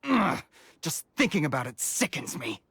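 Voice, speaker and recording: A man's voice: on the high side, big pitch swings, moderate pace, vocal fry, sharp tone, a little hiss or hum, exaggerated voice. An actor playing a haughty, zealous male desert mercenary in a video game, scornful, menacing and declamatory. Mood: bitter. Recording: background music under the voice.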